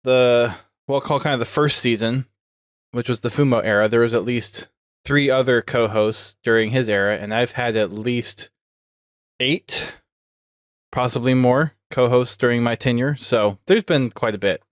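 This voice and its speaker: A man's voice: medium pitch, moderate pace, no echo. Casual male podcast host, dry close sound. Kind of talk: casual interview chat.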